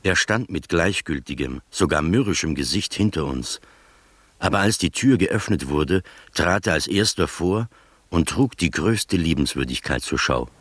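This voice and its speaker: Composed gentleman storyteller for radio play; low voice, dry close sound, literary narration. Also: animated, moderate pace, breathy edge, dry recording, full character voice. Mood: composed, descriptive. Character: composed gentleman narrator recounting his adventure.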